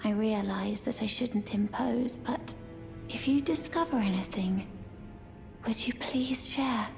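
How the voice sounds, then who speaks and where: A troubled young serpent maiden in a fantasy game. Her voice is fairly high and breathy, very slow and hesitant, with music underneath. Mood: anxious, secretive. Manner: hesitant, breathy, half-whispered. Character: timid, troubled serpent-woman, daughter of a noble lady. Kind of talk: dark fantasy game dialogue.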